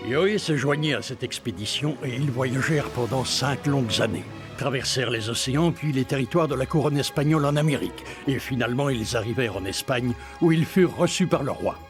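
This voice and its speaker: Man narrating a cartoon prologue, solemn and measured, mid voice, over a score. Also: mid-range voice, music in the background. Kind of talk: scripted narration. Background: film score.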